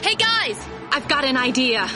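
Earnest young warrior heroine, unhurried. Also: female voice, high voice, sing-song. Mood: determined. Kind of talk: animated film acting. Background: music.

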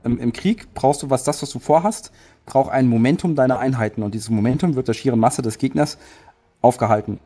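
A man's voice: mid-range voice, a little fast, warm tone. A man playing a tabletop game, skeptically arguing strategy and explaining with restarts. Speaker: tabletop player.

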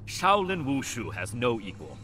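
An actor playing a resolute, solemn Shaolin champion in a fighting game, earnest and firm. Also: male voice, mid-range voice, wildly swooping pitch, moderate pace, pushed and bright, soft background music.

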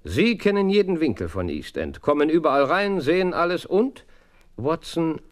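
An actor playing a brilliant, self-assured detective in a German audio drama, theatrical, commanding and slightly teasing. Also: male voice, fairly high pitch, very animated, moderate pace. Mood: brisk, commanding.

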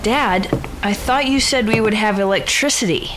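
An actress playing a whiny, demanding American teenage daughter in a radio drama, pleading and petulant. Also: female voice, moderate pace, close to an everyday voice. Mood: whiny, exasperated. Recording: clear background noise, vehicle rumble.

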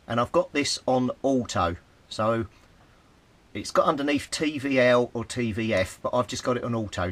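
Casting: British male hobbyist presenter, mid-pitched voice, plain-spoken and explanatory, for informal hands-on demonstrations. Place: small indoor hobby workshop.

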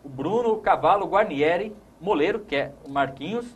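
A man's voice: sits high, expressive, brisk, husky. A man in his thirties with a Brazilian accent, hosting a conversational sports talk show in a studio. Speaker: host.

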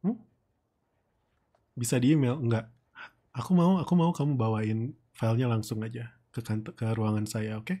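Man playing a breathy, mellow, flirtatious boss. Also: mid-range voice, natural voice. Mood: coaxing, flirtatious.

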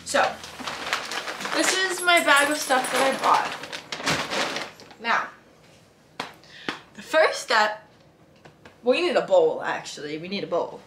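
A woman's voice: fairly high pitch, sing-song, slow, long pauses. A young adult woman chatting informally and slangily to camera while cooking in a home kitchen, thinking aloud as she goes. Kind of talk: casual vlog chat while cooking. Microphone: camera set up a step away. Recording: echoey room, faint noise, kitchen clatter.

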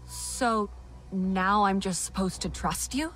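A woman's voice: mid-range voice, takes it slowly, fairly bright tone, soft background music, poor audio. A woman acting a wary young captive fighter in a video game cutscene, questioning and guarded.

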